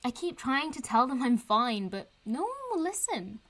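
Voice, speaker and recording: Chatty, animated young woman. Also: a little high, normal speed. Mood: defensive, frustrated. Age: young adult. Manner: chatty, teasing, informal. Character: cheeky, strong-minded young woman, chatty and irreverent. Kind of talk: audio drama acting, vlog-like casual chat.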